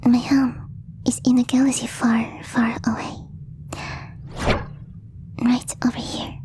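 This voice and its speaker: Gentle alien girl female performer, ASMR roleplay: breathy whisper, even melody, soft mellow tone, shyly formal and slightly stilted delivery. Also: fairly high pitch, slow pace. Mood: gentle, earnest explaining. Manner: hesitant, apologetic, formal slightly stilted phrasing. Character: gentle, devoted young female alien posing as exchange student.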